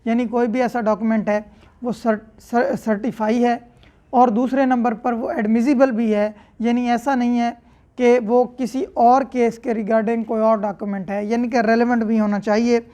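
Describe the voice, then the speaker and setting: A woman's voice: on the high side, little pitch movement, measured pace, warm tone. A woman with a Pakistani accent lecturing, reading out formal clauses and explaining them in a didactic way, rephrasing each point. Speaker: law lecturer.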